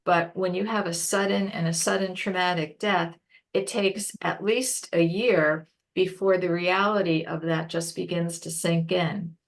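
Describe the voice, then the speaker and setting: A woman presenting an informal webinar, explaining things haltingly and rephrasing as she goes. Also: fairly low pitch, fairly even intonation, poor audio. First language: English.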